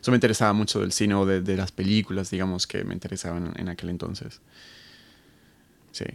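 A Colombian-born man in his thirties, a researcher answering interview questions in a thoughtful, halting way with many fillers. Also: low voice, flat delivery, gravelly, no echo. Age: 30s. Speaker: guest researcher. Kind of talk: conversational interview.

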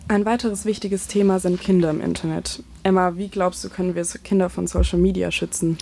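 Low gravelly young woman presenter. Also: steady tone, fairly quick, warm tone, slight background noise. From Germany. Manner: reads from script, poses questions. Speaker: student radio presenter. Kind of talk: scripted moderation.

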